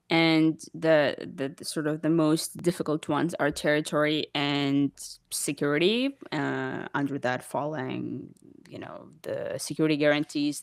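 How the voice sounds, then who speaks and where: A woman's voice: sits low, dry recording, studio quality. A woman from Ukraine, a native Russian speaker, explaining earnestly as a panel guest with some stammered restarts. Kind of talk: casual panel discussion.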